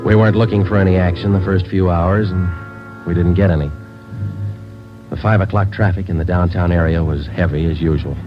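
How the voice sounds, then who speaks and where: Actor as low-voiced, clipped police sergeant, old radio. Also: male voice, a little fast, natural voice. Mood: matter-of-fact. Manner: clipped, matter-of-fact, deadpan. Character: terse, businesslike Los Angeles police sergeant. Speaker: lead actor and narrator. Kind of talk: 1940s radio police drama narration and dialogue. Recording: muffled old radio recording with music.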